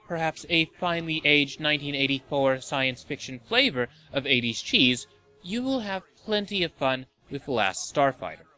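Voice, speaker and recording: Male list-show narrator: tongue-in-cheek, forceful bright tone, very animated delivery. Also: fairly high pitch. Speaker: host of a video game and movie show.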